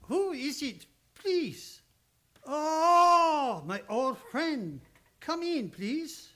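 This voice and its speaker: A man's voice: very high-pitched, expressive, drawn-out words, pushed and bright, heavily acted. A man playing a kindly, grumbling old psychoanalyst who speaks broken English in a live old-time radio play. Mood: welcoming. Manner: broken English with dropped articles.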